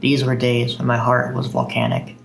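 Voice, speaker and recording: A man's voice: mid-range voice, monotone, moderate pace, vocal fry, faint music behind, low-quality recording. A man hosting a video of poetry readings. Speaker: video host reading poems.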